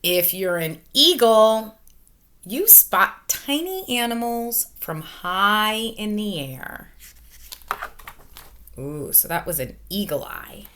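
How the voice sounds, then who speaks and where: A woman in her forties, a teacher reading a picture book aloud to children, expressive and emphatic, with comments and questions along the way. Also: mid-range voice, slow, a little hiss or hum. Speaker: elementary school teacher. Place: small furnished room at home, indoors. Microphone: webcam or laptop microphone at arm's length.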